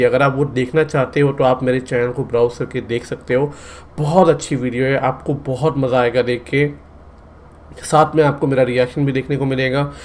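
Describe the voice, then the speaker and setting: This is an earnest man from India with a Delhi Hindi accent, chatting casually to his viewers as he sets up a reaction video. His pitch sits in the ordinary middle for a man, and his manner runs from friendly to pleading. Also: a little mellow, a little hiss or hum.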